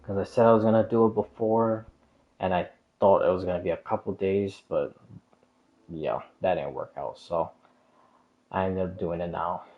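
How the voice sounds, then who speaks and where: A man's voice: deep voice, fairly even intonation, normal speed. A man livestreaming games, chatting casually in a hesitant, meandering, repetitive way. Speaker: game livestreamer. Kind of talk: casual rambling stream chat. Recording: dull, muffled lo-fi stream audio.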